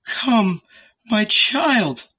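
A male narrator acts out an anguished mother pleading for her grown son. The voice is tremulous and imploring, pushed far up in pitch with a husky strain, in a slightly muffled audiobook recording. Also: lively intonation, drawn-out words, sharp tone, full character voice. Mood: tender, imploring.

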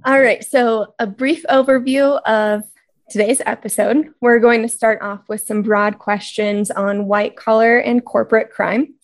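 A woman co-hosting a podcast, chatty and relaxed, with casual fillers as she sets up the episode and a question. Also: fairly high pitch, measured pace. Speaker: podcast co-host.

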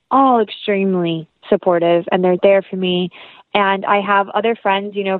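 A woman's voice: medium pitch, no room sound. A young woman as an interview guest, chatting in an earnest, heartfelt, rambling way. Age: young adult. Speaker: podcast guest, actress. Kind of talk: casual interview chat. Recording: tinny phone-like line.

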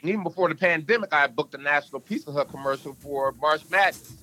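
A man's voice: fast talker, pushed and bright, a little hiss or hum. A man guest on a podcast, talking in a casual, emphatic, storytelling way. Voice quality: can go deep. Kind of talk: casual interview talk.

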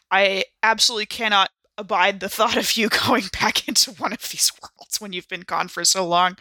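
Bright-voiced woman at a game table. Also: medium pitch, no echo. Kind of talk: casual tabletop roleplay chat.

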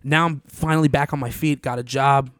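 A young man hosting a podcast in casual studio chat, joking and excitable, laughing often. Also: mid-range voice, brisk, clear, resonant tone, no echo. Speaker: podcast host. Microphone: studio mic.

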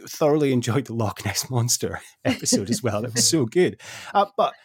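Lively podcast host, husky voice. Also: male voice, mid-range voice, moderate pace, no room sound, studio quality. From Scotland. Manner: lively, teasing. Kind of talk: casual podcast chat.

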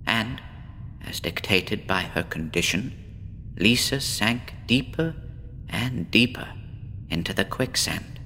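A man playing a despondent, withdrawn woman patient in a horror audio drama, speaking in a brooding, deliberate, theatrical way. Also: lowish voice, animated, sharp tone, slight background noise, heavily acted. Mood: bleak, brooding. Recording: long reverb with faint background ambience.

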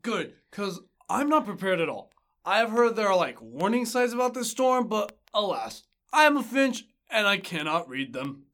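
A woman's voice: medium pitch, sing-song, normal speed, a bit bright. A woman voicing a carefree, clueless bullfinch with slangy, exuberant bro-speak in a comic audio drama. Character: carefree, clueless slacker bullfinch, surfer-dude talk.

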